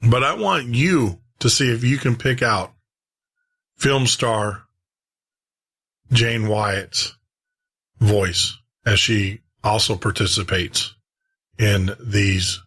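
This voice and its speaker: A man's voice: lowish voice, slow pace, pauses often, fairly bright tone, slightly rough. A man hosting a podcast, talking casually and conversationally as he introduces old-time radio shows, often repeating and rephrasing himself. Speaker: podcast host.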